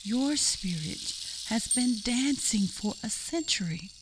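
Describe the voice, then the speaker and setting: A woman giving a solemn, measured poetry reading in a radio theater production. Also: fairly high pitch, lively intonation, slight background noise. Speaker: poem reader.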